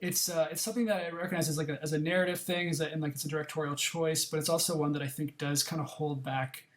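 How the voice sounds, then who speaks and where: A man's voice: fairly high pitch, fairly even intonation, quick pace. A filmmaker on a podcast panel, a man reflecting aloud on film and often restarting his thoughts. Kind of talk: casual film discussion.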